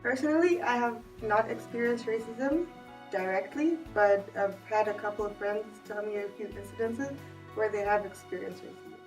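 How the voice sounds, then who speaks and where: A woman's voice: medium pitch, moderate pace, distant mic, faint music behind, low-quality recording. A young woman from Uganda, an international student, answering interview questions in a composed, plain way. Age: young adult.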